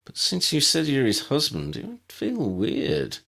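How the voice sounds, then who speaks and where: A male narrator plays a young woman in an audiobook, bold and teasing. The voice is pitched up well above his own and moves about in a lively way. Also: measured pace, vocal fry, heavily acted. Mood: awkward, grimacing.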